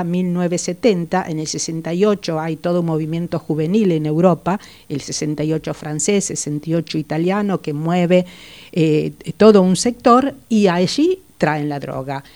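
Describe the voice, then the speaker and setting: An older woman speaks in a fairly low voice as an interview guest. She thinks aloud and pauses often. Her words are measured and full of small hesitations. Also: darkish tone. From Italy. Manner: reflective, hesitant with frequent fillers. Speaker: psychologist guest. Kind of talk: interview, personal recollection.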